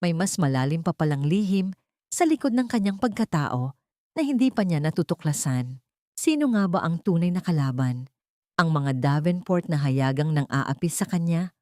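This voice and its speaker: Low-voiced woman narrating a drama. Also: lively intonation, normal speed, mellow, dry recording, studio quality. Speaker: story narrator. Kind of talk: dramatic scripted audio-story narration.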